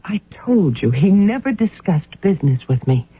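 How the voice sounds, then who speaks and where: A woman's voice: on the low side, big pitch swings, normal speed, breathy edge, heavily acted. An actress playing an evasive, defensive housewife under questioning in a radio drama, hesitant and guarded in her delivery. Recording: muffled narrow-band old radio sound.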